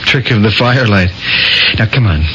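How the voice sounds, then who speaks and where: An actor playing a weary, troubled young surgeon and protective big brother, hesitant yet gently reassuring, in old-time radio drama style. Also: male voice, on the low side, normal speed, slight background noise. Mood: preoccupied. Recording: dull radio recording with music.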